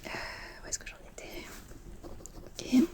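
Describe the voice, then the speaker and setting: A woman's voice: unhurried, close to an everyday voice. A woman playing a friendly, chatty, slightly distracted teenage schoolgirl in a whispered ASMR roleplay, speaking in casual, hesitant student slang. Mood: confiding. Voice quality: soft, breathy whisper.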